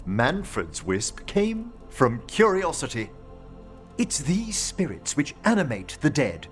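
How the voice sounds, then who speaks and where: An actor playing a gentle, earnest scholar of necromancy in a video game, speaking formally and precisely. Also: male voice, a little high, huge pitch swings, slow pace, faint music behind, heavily acted. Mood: philosophical. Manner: formal, precise, eloquent speech. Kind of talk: video game character acting.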